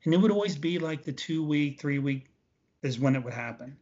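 A man's voice: on the high side, lively intonation, a little fast, a little mellow. A man in his late forties giving casual, emphatic interview answers, repeating points for stress. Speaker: interview guest, veteran bodybuilder. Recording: dull, lo-fi audio.

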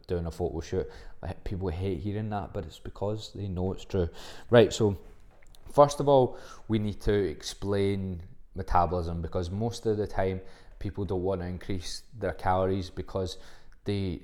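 A soft-spoken man with a low, deep voice talks through his podcast on his own. His tone is mellow and relaxed. He is blunt and rambling, full of fillers and casual swearing. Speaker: podcast host and fitness coach. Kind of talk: casual solo podcast monologue.

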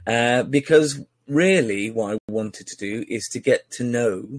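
A man's voice: medium pitch, a little slow, no room sound. A man hosting a casual podcast interview, chatty and teasing, with many fillers and restarts. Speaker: podcast host.

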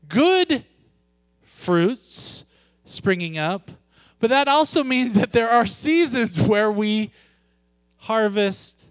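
A man preaching a sermon with a loud voice, moving from joking, conversational asides to slow, weighty emphasis on scripture. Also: on the high side, no echo. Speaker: pastor.

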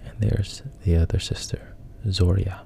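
A man casually retelling a story in a hushed, halting way, often dropping to a whisper, with a gravelly, breathy voice. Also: deep voice, steady tone, slight background noise.

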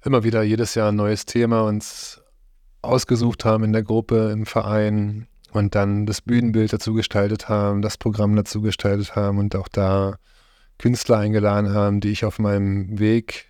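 A middle-aged man from Germany with a low, flat, mellow voice. He talks in relaxed conversation, hesitates often and searches for his words. The recording is close and dry. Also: moderate pace, no room sound. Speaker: interviewee, farm host.

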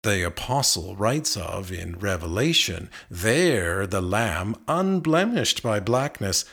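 Slow, low-pitched man reciting poetry expressively. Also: vocal fry, breathy edge. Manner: measured, deliberate phrasing with expressive emphasis. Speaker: reader of poetry. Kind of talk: literary recitation of a narrative poem.

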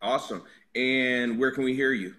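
A man's voice: medium pitch, normal speed, pushed and bright. A man hosting a podcast panel, chatting casually, teasing and asking each guest questions. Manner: laughing, teasing, asks questions. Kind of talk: casual group chat.

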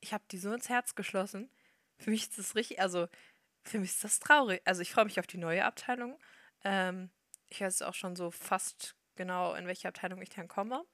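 A young woman co-hosting a podcast in casual chat, talking quickly and laughing often. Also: medium pitch, a bit bright, no room sound, clean studio sound. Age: young adult. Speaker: podcast co-host.

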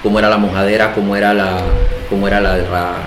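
A middle-aged man with a Panamanian accent, talking casually as an interview guest, animated and self-deprecating, repeating phrases for emphasis. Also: on the low side, quick pace, music in the background. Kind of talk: casual interview conversation, storytelling. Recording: echoey room, faint background noise.

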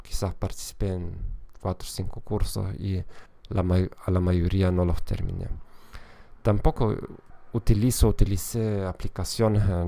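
Man, gravelly low voice, hesitant podcast talk, frequent fillers and self-corrections. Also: mellow. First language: German. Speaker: podcast host and language teacher. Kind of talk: casual solo podcast talk.

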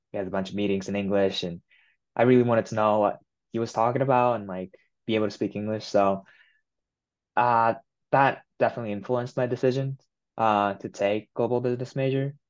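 A young man in his twenties from Japan, whose first language is Japanese, answering interview questions in a relaxed way with many "you know"s and "um"s. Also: fairly low pitch, brisk, highs cut off. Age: 20s. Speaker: guest. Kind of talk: casual interview.

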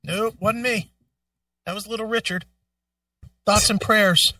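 A man's voice: high voice, steady tone, a little slow, bright, forceful tone, dry recording, distant mic. A man co-hosting a casual talk show, bantering in a blunt, sarcastic, profane way. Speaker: show co-host.

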